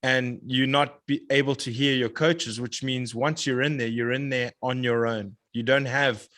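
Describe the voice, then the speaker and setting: Relaxed male podcast guest. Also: mid-range voice, normal speed. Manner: relaxed, enthusiastic about fighting. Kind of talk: casual chat.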